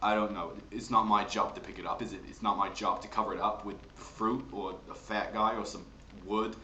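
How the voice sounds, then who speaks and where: A man plays an indignant New York dad with a lowish voice. He complains insistently in a comic monologue. The recording is roomy with some faint noise. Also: steady tone, a little fast, sharp tone, muffled recording. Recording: roomy, noisy home recording.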